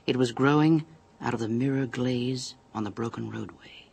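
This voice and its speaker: Creaky, dramatic male story narrator. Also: medium pitch, normal speed, darkish tone.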